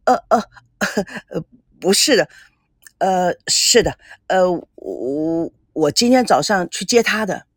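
Woman acting a polite, husky middle-aged son. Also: mid-range voice, sing-song, moderate pace, no room sound, clean studio sound. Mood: flustered. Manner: polite, hesitant. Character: respectful, dutiful middle-aged son, hesitant.

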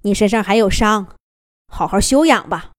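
A woman's voice: mid-range voice, normal speed, dry recording, clean studio sound, close to an everyday voice. Woman as a kind rabbit rescue captain. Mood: gentle. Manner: steady, reassuring, gentle. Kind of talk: children's storybook reading.

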